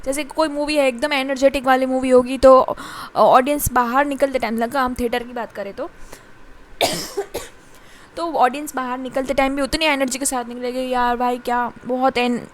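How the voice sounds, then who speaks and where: A woman teaching a commerce class in Hindi, reading definitions slowly and pausing often with fillers. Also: sits high, fairly even intonation, slight background noise. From India. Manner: explains in Hindi, reads definitions slowly in English, frequent fillers and ठीक है. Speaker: commerce lecturer. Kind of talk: classroom lecture.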